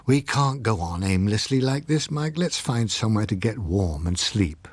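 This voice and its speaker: A man's voice: lowish voice, lively intonation, moderate pace, slightly rough, no room sound. A man voicing a wry, practical adult woman, the narrator's wife, in an audiobook reading.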